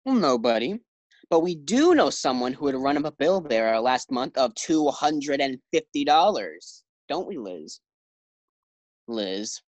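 A man's voice: medium pitch, sing-song, measured pace, darkish tone, dry recording. A man acting a sensible, exasperated husband in a 1940s-style radio sitcom. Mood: stern.

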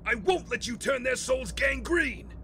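An actor playing a paranoid, anguished war veteran turned hostage-taker in an audio drama, agitated and halting as he rants. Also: very high voice, animated, bright, forceful tone, slight background noise, full character voice. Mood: frantic rage.

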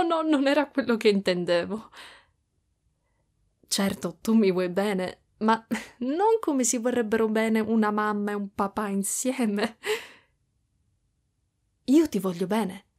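A woman voicing a gentle, protective spider-woman mother in an intimate ASMR roleplay, soft and breathy, often slipping toward a whisper. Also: on the high side, lively intonation, slow pace, long pauses. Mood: flustered, affectionate. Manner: soft, cooing, hushed, drifting into whispers.

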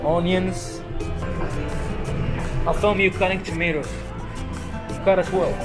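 An upbeat young man chats as he films a restaurant kitchen on a handheld phone. His voice sits a touch high. Loud music plays behind him. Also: fairly even intonation, slow. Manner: upbeat, repeats short praise. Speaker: vlogger. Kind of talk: casual vlog chat. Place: small restaurant kitchen, indoors. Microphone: handheld phone camera.

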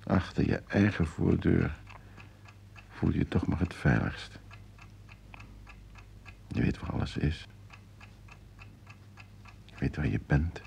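A man playing an uneasy, evasive homebody husband in a Dutch radio play, speaking haltingly. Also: low voice, animated, gravelly, darkish tone, exaggerated voice. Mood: musing. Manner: hesitant, halting. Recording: noisy old radio play recording.

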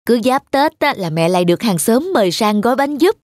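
A man's voice: very high voice, very animated, brisk, a little mellow, dry recording, studio quality. A man playing an affectionate father and devoted son in a cartoon, talking in an earnest, reflective way. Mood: fond. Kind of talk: animated cartoon dialogue and storytelling.

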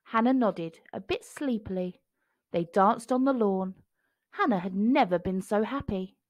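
A woman narrating a children's picture book expressively, phrasing clearly for young listeners. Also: medium pitch, measured pace, no room sound, studio quality.

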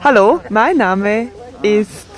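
A woman voicing a sign language sports report and interviews in a measured, steady way. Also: medium pitch.

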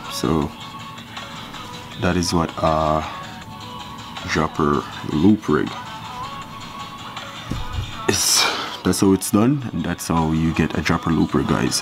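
Low-voiced man, relaxed how-to host, talks over music. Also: slow pace, gravelly, pauses often. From Jamaica. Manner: relaxed, step-by-step instruction with counting. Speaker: fishing channel host. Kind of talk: casual hands-on tutorial.

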